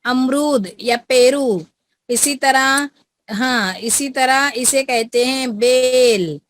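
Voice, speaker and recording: A woman's voice: high-pitched, drawn-out words. A woman teaching an online class to schoolchildren over a video call, coaxing them and repeating her prompts, with an Indian accent.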